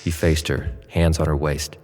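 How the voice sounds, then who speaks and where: A man narrating an audio drama in a low, steady voice. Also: normal speed, soft background music. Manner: low steady narration, pushier and louder in Nate's lines. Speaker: narrator and actor playing Nate.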